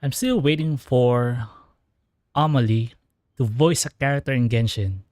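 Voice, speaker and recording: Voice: medium pitch, animated, measured pace, darkish tone, no echo, studio quality. A streamer giving casual, rambling commentary while playing a story game. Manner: chatty, rambling fan theorizing. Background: game music.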